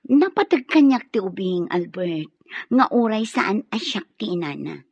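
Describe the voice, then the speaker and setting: An actress playing a stern, scornful wife of the house in a radio drama, scolding and giving orders. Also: female voice, medium pitch, very animated, a little slow, darkish tone, slightly rough, no echo, highs cut off, full character voice. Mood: accusing. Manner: scolding, cutting, commanding.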